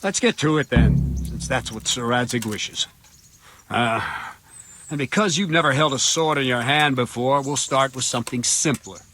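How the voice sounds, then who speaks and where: A man's voice: mid-range voice, moderate pace, fairly bright tone, slight background noise, lightly acted. A man playing a plainspoken, dutiful, slightly grumbling guard captain in a video game, blunt and matter-of-fact. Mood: brisk. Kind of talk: video game dialogue acting.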